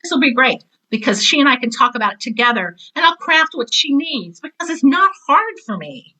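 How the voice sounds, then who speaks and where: A woman's voice: high-pitched, sing-song, brisk, sharp tone, poor audio. A woman speaking conversationally as an interview guest, explaining her teaching work emphatically and voicing imagined questions and replies. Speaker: podcast guest, drama teacher and author of teaching materials.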